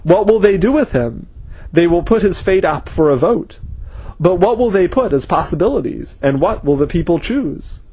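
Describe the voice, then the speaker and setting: A man voicing a grim, husky male scholar. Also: sits high, expressive, normal speed, dark tone, no room sound, voice pushed up, poor audio. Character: grim, intense male scholar turned avenger.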